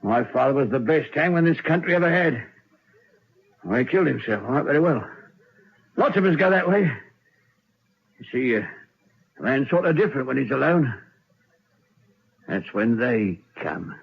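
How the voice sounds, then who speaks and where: Proud, nervy prison hangman, animated delivery. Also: medium pitch, moderate pace, creaky, pauses often, slightly rough. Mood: haunted, brooding. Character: proud, dutiful prison hangman losing his nerve. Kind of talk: old radio drama acting. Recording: dull old radio recording.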